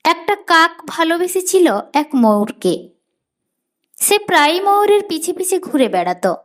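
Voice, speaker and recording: A woman's voice: very high-pitched, big pitch swings, moderate pace. A woman reading a scripted story as a storyteller.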